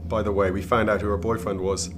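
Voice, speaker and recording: A man's voice: on the low side, flat delivery, fast talker, music in the background, close to an everyday voice. A man playing a cool, contemptuous intelligence henchman in a radio drama. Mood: cold, dismissive. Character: cold, dismissive male intelligence henchman.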